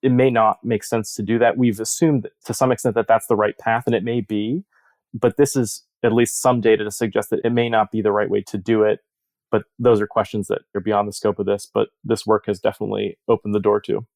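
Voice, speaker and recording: Low-pitched, gravelly man explaining research. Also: little pitch movement, a little mellow, no room sound. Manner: measured, explanatory, poses rhetorical questions. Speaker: surgeon researcher, interview guest. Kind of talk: interview discussion of surgical research.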